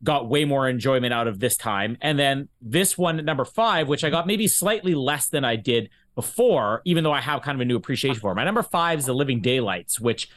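A man's voice: medium pitch, animated, brisk, few pauses, a bit bright. A man hosting a casual podcast book discussion, thinking aloud in a rambling way. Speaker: podcast host.

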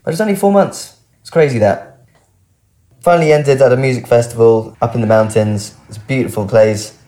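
A man being interviewed, candidly telling a personal story in a halting, descriptive way. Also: medium pitch, warm tone. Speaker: interview guest.

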